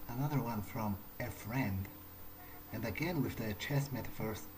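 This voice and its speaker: A man playing an uneasy vampire newcomer in an in-character game playthrough, thinking aloud in a halting, hesitant way. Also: on the low side, background noise, lightly acted. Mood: puzzled, pondering. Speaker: let's player. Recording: music behind the voice. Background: game soundtrack music.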